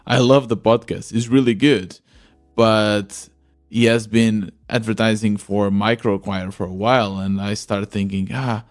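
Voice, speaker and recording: A man hosting a podcast, narrating his own reflections in the first person. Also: on the low side. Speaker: podcast host.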